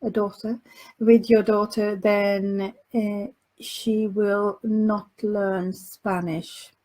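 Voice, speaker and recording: A Finland Swedish woman hosting a parenting podcast, answering listener questions slowly with many fillers and restarts. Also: medium pitch, level tone, a little mellow, low-quality recording. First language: Finland Swedish dialect. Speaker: podcast host and language coach.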